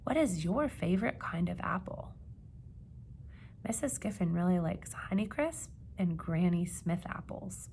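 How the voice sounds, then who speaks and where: A woman reading a children's picture book aloud like a teacher, with expressive emphasis and questions put to young listeners. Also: lowish voice, vocal fry, a little hiss or hum. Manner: expressive, dramatic gasps and emphasis, pausing to ask questions.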